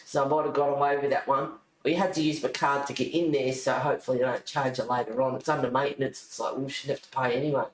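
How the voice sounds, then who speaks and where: A man's voice: mid-range voice, fairly even intonation, fast, few pauses. A man with an Australian accent chatting casually as a livestream host, rambling and easily sidetracked. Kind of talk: casual chat. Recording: roomy echo, faint noise.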